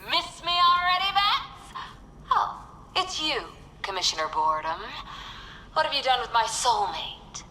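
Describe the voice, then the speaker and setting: An actress playing a deranged, lovesick villainess in a video game, taunting and threatening. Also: female voice, sits high, expressive, unhurried, sharp tone, exaggerated voice. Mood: mocking menace. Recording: long hall reverb, faint noise.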